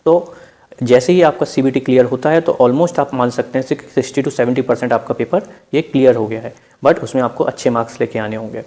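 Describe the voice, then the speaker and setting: A man's voice: mid-range voice, fairly even intonation, fairly quick, a little mellow. A man with an Indian accent gives an informal instructional explainer as an exam preparation presenter, addressing listeners as friends and mixing in English terms. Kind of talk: informal explainer narration over on-screen slides.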